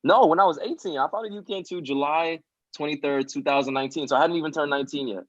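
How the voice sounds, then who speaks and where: A man's voice: a little high, fast, no echo. A twenty-year-old man speaking earnestly and from the heart as a podcast guest, often adding "you know" and "um". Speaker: podcast guest, student nonprofit founder. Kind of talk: casual conversational interview. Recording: dry, dull lo-fi sound.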